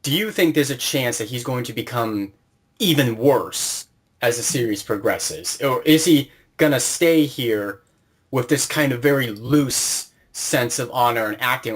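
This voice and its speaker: A man's voice: medium pitch, moderate pace, husky. A man conducting a casual interview, speaking conversationally as the interviewer.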